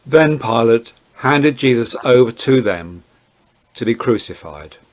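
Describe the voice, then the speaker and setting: A man with a mid-range voice serves as narrator of a dramatized scripture reading. He reads in a measured and deliberate manner. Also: mid-range voice, lively intonation.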